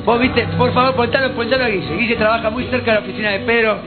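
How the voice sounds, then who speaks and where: A man's voice: very high-pitched, steady tone, very fast, phone-quality sound, music in the background. A man with a Rioplatense Spanish accent hosting a live TV studio show, teasing and theatrical in his banter. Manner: playful, teasing, theatrical announcer. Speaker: TV show host.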